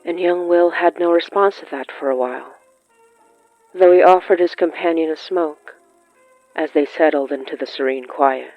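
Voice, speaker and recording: A narrator reading scripted period fiction in a measured, deliberate way with pauses. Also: mid-range voice, dry recording, lo-fi sound. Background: background music.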